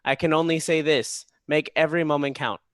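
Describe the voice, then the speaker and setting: A man playing a stern, commanding father god in a staged play reading, grave and deliberate. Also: fairly high pitch, fairly even intonation, fairly bright tone, no room sound, studio quality, close to an everyday voice. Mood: stern warning.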